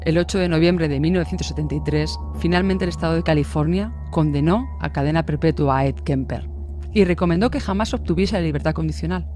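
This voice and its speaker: A man's voice: on the high side, fast, darkish tone. A man delivering a dramatic, scripted true-crime narration. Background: music.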